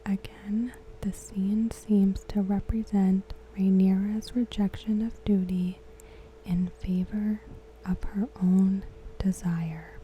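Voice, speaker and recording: Slow, dark whispering woman. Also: mid-range voice, level tone, slight background noise, rough recording. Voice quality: soft, breathy whisper. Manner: measured, deliberate, hushed delivery. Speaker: ASMR host. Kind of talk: whispered ASMR scripted recap narration.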